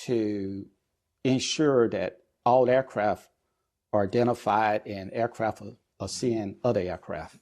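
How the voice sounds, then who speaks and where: A middle-aged man speaking as an expert interview guest, explaining things in a measured, deliberate way with pauses between phrases. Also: fairly low pitch, steady tone, darkish tone. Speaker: guest expert, air traffic controller and business executive.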